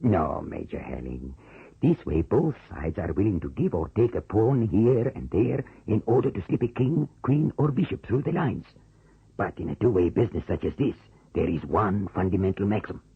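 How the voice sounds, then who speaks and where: A careful scheming officer in an old radio play, formal, slow to commit, low voice, thin boxy old sound. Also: male voice, animated, vocal fry, breathy edge, no echo, heavily acted. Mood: dry, explanatory. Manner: deliberate, formal, hesitant pauses. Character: measured, calculating male communist officer planning to defect. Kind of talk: old radio drama acting. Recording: muffled, narrow-band old radio recording.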